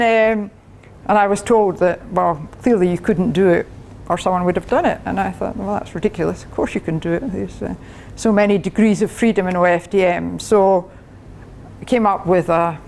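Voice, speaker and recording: A woman's voice: mid-range voice, brisk, vocal fry, a little mellow, a little hiss or hum. An older Scottish woman, a professor, giving a chatty technical talk to a club, laughing often and restarting her sentences. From Scotland. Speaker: guest speaker, professor.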